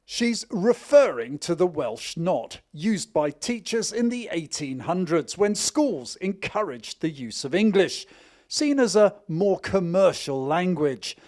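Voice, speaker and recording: A man's voice: high voice, very animated, takes it slowly, a little mellow, no echo, clean studio sound. A man presenting a news feature, narrating and interviewing on location. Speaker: reporter and presenter. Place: outdoors at a castle.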